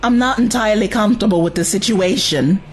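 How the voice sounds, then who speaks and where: A woman's voice: mid-range voice, quick pace, a little hiss or hum, close to an everyday voice. A woman playing a wary, resolute young companion in an audio drama, firm and urgent. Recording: music under the voice.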